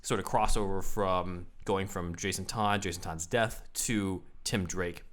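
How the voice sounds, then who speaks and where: Low voiced host guy rambling through trivia, lots of uhs. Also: fairly even intonation, a little slow, a bit bright. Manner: chatty, explaining trivia with fillers. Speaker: podcast host. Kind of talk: casual comic-book chat.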